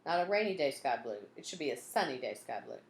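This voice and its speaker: A woman's voice: sits low, big pitch swings, fast talker. A woman reading a storybook and voicing a fussy large man who is particular and insistent. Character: fussy large man ordering shirts by telephone. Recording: roomy echo, some background noise.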